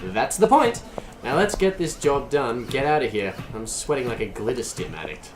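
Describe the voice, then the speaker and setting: A man's voice: medium pitch, sing-song, normal speed, reverberant, noisy background, lightly acted. A man playing a cavalier, wisecracking space smuggler in an audio drama, breezy and glib. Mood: wary. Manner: breezy, glib, mock-innocent.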